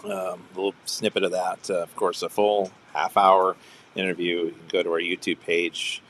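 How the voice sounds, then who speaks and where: A man's voice: low-pitched, level tone, moderate pace, a bit bright, slight background noise. A young man hosting a casual live music hangout stream, chatty and rambling, often chuckling and restarting sentences. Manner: rambling, chuckling, self-interrupting. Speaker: show host.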